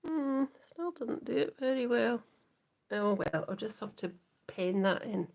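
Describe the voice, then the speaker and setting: Slow, gravelly Scottish woman crafting live. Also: fairly high pitch, animated. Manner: soft, often trailing into whispers while working. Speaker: craft livestream host. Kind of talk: live crafting demo, chatting to viewers.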